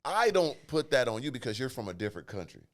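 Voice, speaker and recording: A man hosting a podcast in casual interview chat, animated and slangy, slipping into playful voices. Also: medium pitch, fast talker. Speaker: podcast host.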